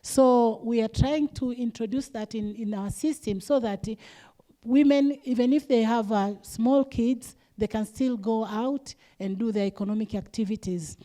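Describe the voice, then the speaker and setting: A woman's voice: on the high side, a little slow, mellow. A woman from Tanzania speaking as a conference panelist, hesitant and often repeating words. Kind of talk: panel discussion remarks.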